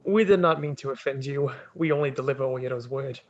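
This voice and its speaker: A man playing a deferential, dutiful samurai envoy in a dramatic reading, formal and measured, on slightly dull audio. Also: mid-range voice, close to an everyday voice. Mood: formal, firm.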